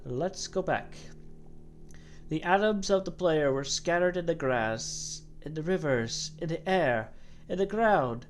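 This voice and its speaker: A woman voicing a solemn godlike storyteller, giving a measured, dramatic reading of game dialogue. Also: low-pitched, expressive, soft background music. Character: low-voiced godlike cosmic being, solemn storyteller.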